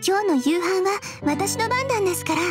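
High-voiced gentle schoolgirl. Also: lively intonation, fairly quick. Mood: gently insistent. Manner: soft, polite. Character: gentle, polite, caring teenage schoolgirl. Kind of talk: visual novel voice acting. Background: game music.

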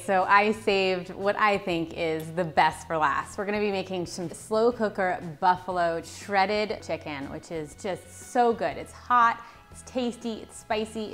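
A woman in her thirties hosting a cooking tutorial in a home kitchen, cheerfully listing ingredients one after another. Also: mid-range voice. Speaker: cooking channel host. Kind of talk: recipe tutorial with voice-over.

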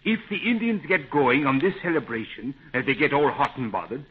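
An actor playing a loyal, scrappy, folksy adventurer in a 1930s radio adventure serial. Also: male voice, mid-range voice, expressive, brisk, slightly rough. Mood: determined. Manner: folksy, plain-spoken. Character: Pat, a loyal, scrappy grown man, adventurer ally. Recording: muffled, phone-like 1930s radio recording.

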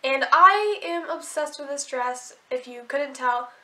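A woman's voice: high voice, measured pace, sharp tone, roomy sound. Bubbly teen girl with a stuffy cold. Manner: chatty, bubbly, many likes and restarts. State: sick, sore throat and stuffy nose. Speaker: vlogger. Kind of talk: casual haul video chat.

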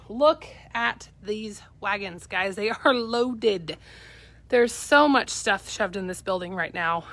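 Upbeat woman with a Midwestern accent. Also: fairly high pitch. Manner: upbeat, chatty, talking to live viewers while walking. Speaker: auction company staff. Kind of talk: live walkthrough of auction lots. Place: cluttered warehouse, indoors. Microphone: handheld phone camera.

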